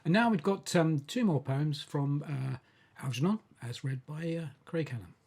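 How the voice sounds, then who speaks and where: A man hosting an event, introducing performers casually in a hesitant, halting way. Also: on the high side, a little mellow, breathy edge. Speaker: host.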